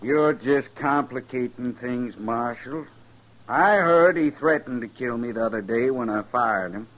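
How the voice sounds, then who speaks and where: An actor playing a proud, vengeful older rancher with a rural Western accent, gruff and defiant, in 1950s radio western style. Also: male voice, medium pitch, a little slow, fairly bright tone, natural voice. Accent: rural American Western. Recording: dull vintage 1950s radio recording.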